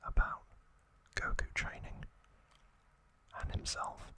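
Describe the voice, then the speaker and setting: A man hosting an ASMR video, narrating a recap in a soft, breathy, unvoiced whisper, in short phrases with pauses.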